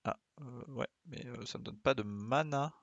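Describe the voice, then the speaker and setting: A man's voice: medium pitch, moderate pace, no room sound, lo-fi sound. A man doing casual live gameplay commentary, thinking aloud as he plays and often muttering to himself. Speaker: game streamer.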